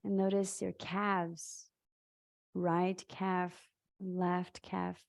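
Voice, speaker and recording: A woman leading a live guided meditation in a soothing, halting way, leaving long pauses between short phrases. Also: lowish voice, flat delivery, gravelly.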